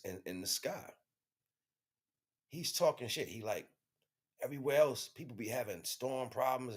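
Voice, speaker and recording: A man talking in a casual, rambling monologue, telling stories in slang and often checking that the listener follows. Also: sits low. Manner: slangy, animated storytelling with frequent check-ins. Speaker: livestream host.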